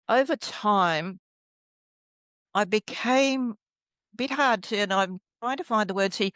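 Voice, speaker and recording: A middle-aged woman, a healer guest, telling her story in a soft, rambling way as she searches for her words. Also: medium pitch, clear, resonant tone, dry recording. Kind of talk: reflective storytelling interview.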